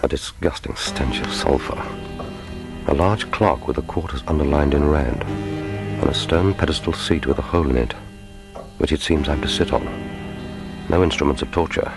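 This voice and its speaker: An actor playing a cool, wry British secret agent, narrating his thoughts in a deadpan, gravelly voice in a radio play. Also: rumbling low, moderate pace, long pauses. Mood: wary, deadpan. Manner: deadpan, wry, inner monologue. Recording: film score music behind voice.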